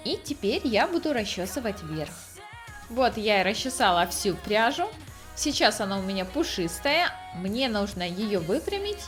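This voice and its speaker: A woman hosting a craft tutorial, explaining each step in scripted narration. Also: medium pitch. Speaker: craft channel host.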